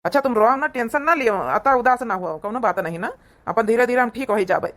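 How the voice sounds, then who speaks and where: A woman's voice: a little high, fast talker, a bit bright, natural voice. A woman voicing an ailing village husband in a cartoon comedy, consoling and homely, with an Awadhi accent.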